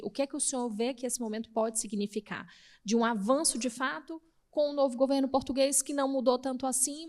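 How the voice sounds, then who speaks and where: Woman moderating with long winding questions. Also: fairly high pitch. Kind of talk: panel moderation.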